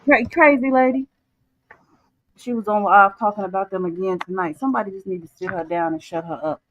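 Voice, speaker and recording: A woman's voice: medium pitch, a little fast, dull audio, slight background noise. A woman with a lisp and chatting casually, rambling and repeating words as she starts a sentence. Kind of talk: casual chat.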